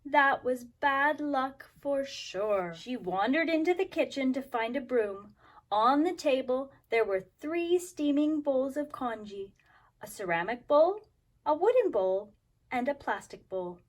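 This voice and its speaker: High-pitched, animated woman teaching kindergarten. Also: measured pace. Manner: simple, explanatory address to young children. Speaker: kindergarten teacher reading stories. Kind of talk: children's story time, expressive read-aloud.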